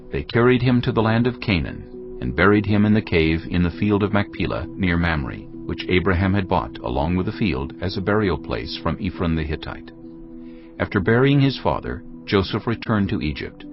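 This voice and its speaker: A man narrating dramatized scripture in a solemn storytelling manner. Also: sits low. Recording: slightly dull audio with music behind. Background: music.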